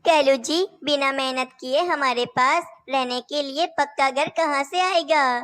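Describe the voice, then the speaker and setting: A woman's voice: sits high, a little slow, a bit bright. A woman voicing young female cartoon birds, caring and a little bossy, in a childlike, declamatory way. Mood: questioning. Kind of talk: Hindi animated children's story dialogue.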